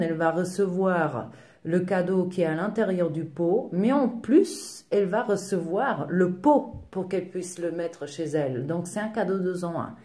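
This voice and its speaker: Mellow-voiced woman, hesitant French tutorial. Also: mid-range voice. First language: Portuguese. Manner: friendly, hesitant with frequent fillers. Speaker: DIY decor vlogger. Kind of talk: tutorial voice-over.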